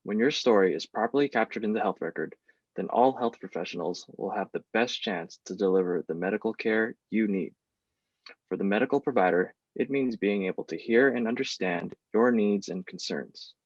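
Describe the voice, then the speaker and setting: A man with a husky, lowish voice gives a prepared explanation as a panelist. The recording is dry and muffled, somewhat lo-fi. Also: moderate pace, no room sound. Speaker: research team panelist. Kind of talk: scripted explanatory answer, read out. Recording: dull, lo-fi, dry sound.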